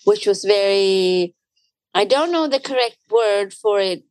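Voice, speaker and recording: A woman's voice: medium pitch, expressive, unhurried, a little mellow, dry recording. A young adult woman from Sweden with a Swedish accent, chatting casually as an interview guest with many fillers and restarts.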